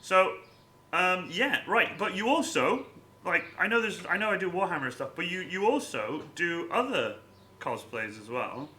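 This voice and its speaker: A young man on a live stream, talking in an excitable rush and tripping over his own words. His voice is very bright and sharp-edged, and it swings widely up and down. It sits a touch higher than most men's. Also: unhurried. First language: Welsh. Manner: excitable, stumbles and repeats words. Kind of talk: casual live-stream chat.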